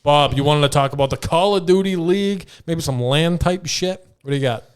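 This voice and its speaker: A man's voice: on the high side, a little fast, clear, resonant tone. A man co-hosting a podcast, chatting casually and bluntly with plenty of profanity as he steers the topics. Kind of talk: casual irreverent podcast chat.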